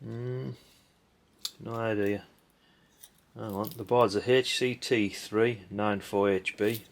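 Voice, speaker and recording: A man's voice: on the low side, steady tone, slow pace. A middle-aged man chats casually and bluntly as a hobbyist host, rambling in a dry way with tag questions and mild swearing. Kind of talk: casual rambling commentary while sorting old electronics. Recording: clinking parts and background noise. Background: clicks and clatter of handled parts.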